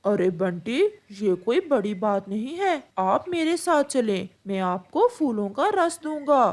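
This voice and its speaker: A woman's voice: a little high, sing-song, unhurried, a little mellow. A woman voicing a kindly older uncle pigeon in a children's cartoon, reassuring and avuncular. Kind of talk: Hindi children's cartoon voice acting.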